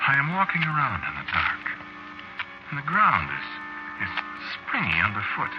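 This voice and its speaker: A man's voice: medium pitch, wildly swooping pitch, moderate pace, over loud music. A man acting in a vintage radio drama as a hard-headed, rattled fellow narrating his nightmare, hesitant and stammering in colloquial speech. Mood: bewildered, uneasy. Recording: noisy dull vintage radio recording.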